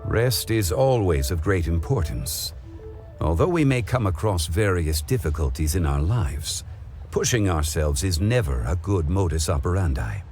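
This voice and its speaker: An actor voicing a calm, wise male storyteller in a game, speaking in measured, formal phrases. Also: low voice, faint music behind. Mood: thoughtful. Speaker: game character. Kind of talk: game cutscene voice acting.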